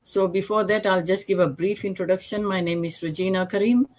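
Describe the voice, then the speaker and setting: A woman's voice: medium pitch, brisk, a little mellow. An older woman from Fiji hosting a live online talk show, speaking earnestly and reflectively, with rhetorical questions. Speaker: host.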